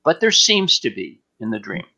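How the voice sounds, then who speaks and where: A man giving an unscripted spiritual question-and-answer talk as a teacher, speaking deliberately and emphatically with frequent pauses on an online stream. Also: medium pitch, big pitch swings, pushed and bright.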